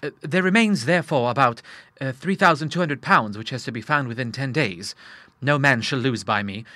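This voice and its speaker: A man's voice: mid-range voice, moderate pace, sharp tone, no echo, natural voice. A man voicing an earnest young businessman in money trouble who speaks formally and with feeling.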